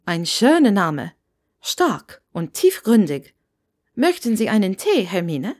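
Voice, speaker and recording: A woman's voice: medium pitch, very animated, slow, a little mellow. A woman voicing a plump, grey-haired, talkative innkeeper's wife in a storybook reading, gushing and enthusiastic. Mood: warm, hospitable. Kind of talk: audiobook character dialogue.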